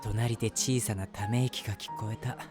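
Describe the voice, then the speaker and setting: A man's voice: on the low side, normal speed, loud music behind the voice, natural voice. A man playing a lovestruck, self-deprecating young man who narrates his crush in a chatty, flustered way in a voice drama. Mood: sheepish. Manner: flustered, animated, self-mocking, talking to himself. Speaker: voice actor. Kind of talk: first-person situation voice drama, chatty narration with acted reactions.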